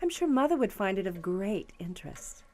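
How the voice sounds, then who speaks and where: Smug, venomous rich daughter, mock-sweet played by an actress. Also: female voice, mid-range voice, sing-song, moderate pace, darkish tone, lightly acted. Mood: gloating sweetness. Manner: mock-sweet, condescending, theatrical. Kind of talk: audio drama acting.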